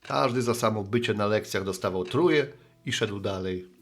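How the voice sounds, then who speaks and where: Radio play postman and bar regular, played by a male actor: middle-aged man, fairly low, gravelly, sheepish, folksy, good-natured. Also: moderate pace, fairly bright tone, faint music behind. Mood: musing. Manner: folksy, rambling, reminiscing.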